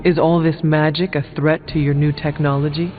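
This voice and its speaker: A man playing a composed, formal warrior hero from centuries past in a video game, measured and understated. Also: fairly high pitch, little pitch movement, narrow, tinny audio, faint music behind, lightly acted. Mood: calm, reserved. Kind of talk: video game dialogue acting.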